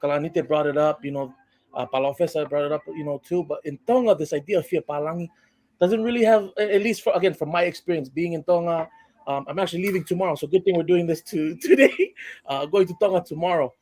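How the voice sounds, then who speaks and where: A man's voice: fairly high pitch, quick pace, a little mellow. A man from Hawaii speaking as a guest on a live online panel discussion, earnest and building to passionate emphasis.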